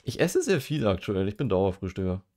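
A man's voice: lowish voice, big pitch swings, fairly quick, darkish tone, slight background noise. A young man streaming a game, chatting casually and joking while he reads quiz questions aloud and muses over his answers. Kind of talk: casual livestream chat while gaming.

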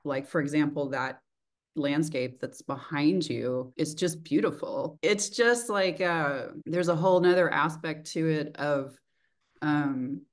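A woman's voice: deep voice, a little slow. A middle-aged woman with a mild Texan accent, chatting casually and reflectively on a video call. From rural Texas, United States. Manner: reflective, informal, frequent "like". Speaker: interviewer, host. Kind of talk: casual chat. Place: small indoor room, video call. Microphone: video call, desk distance.

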